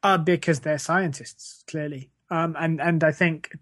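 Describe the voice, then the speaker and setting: A woman's voice: low voice, steady tone, unhurried, dry recording, studio quality. A woman co-hosting a comedy podcast, chatting in a rambling, hesitant, faux-naive way. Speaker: podcast co-host. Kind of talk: casual comedy chat.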